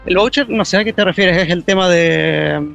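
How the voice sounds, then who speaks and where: A man's voice: high-pitched, normal speed, gravelly, a bit bright, faint music behind. A man from Argentina who lived for years in Chile, giving casual, hesitant interview answers as he searches for words. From born in Argentina, lived many years in Chile. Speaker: passer-by interviewee, photographer. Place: street outdoors.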